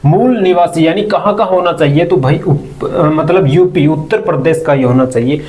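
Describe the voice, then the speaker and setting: A man's voice: a little high, moderate pace, a little mellow. A man giving an informal explainer lesson, conversational, often posing questions and answering them himself with frequent check-in tags. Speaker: presenter of a job-recruitment explainer channel.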